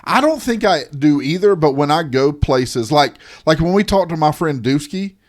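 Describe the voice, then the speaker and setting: A man's voice: on the high side, lively intonation, moderate pace. Southern man co-hosting a podcast, rambling. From Southern United States. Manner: rambling, restarts sentences, teasing. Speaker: podcast co-host. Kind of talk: casual chat.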